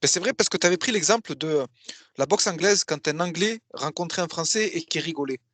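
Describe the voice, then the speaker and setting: A man's voice: on the high side, animated, a little fast, fairly bright tone, no echo. A man as the interviewer, casually asking questions and summing up his guest's points. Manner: agreeable, asks questions and sums up points.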